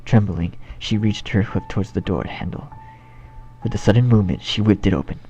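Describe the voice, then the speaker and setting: A man's voice: fairly low pitch, brisk, dark tone. A man narrating a scripted fan fiction story as its storyteller. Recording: dull home recording with faint noise.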